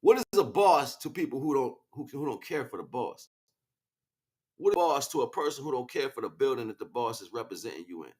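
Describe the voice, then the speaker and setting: A man's voice: medium pitch, sing-song, brisk, a little mellow, breathy edge. A man hosting a casual livestream, talking in a slangy, rambling, preachy way as he chats back to viewers. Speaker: livestream host. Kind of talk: casual impassioned livestream monologue.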